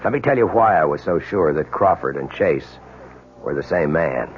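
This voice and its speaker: Low american detective guy, earnest and pushy, big swings in pitch, old radio drama. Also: animated, moderate pace, lo-fi sound. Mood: intense, persuasive. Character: dogged male police detective, earnest, persuasive, world-weary. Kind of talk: 1970s radio drama acting.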